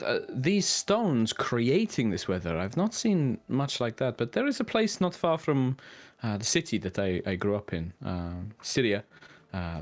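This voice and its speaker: A man voicing a well-read young male adventurer who muses haltingly and in wonder. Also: fairly low pitch. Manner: halting, wonder-struck musing. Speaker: player.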